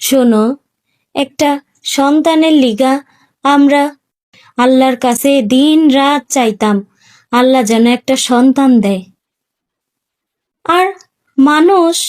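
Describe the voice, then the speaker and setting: A woman's voice: high-pitched, darkish tone. A woman playing a tender, pious village foster mother in a cartoon, with a rural Bangladeshi accent. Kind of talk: Bengali cartoon story acting.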